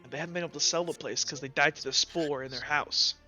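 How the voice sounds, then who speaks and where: Man voicing a brusque, high-pitched woman shopkeeper. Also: quick pace, sharp tone, muffled recording, faint music behind. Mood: helpful, confiding.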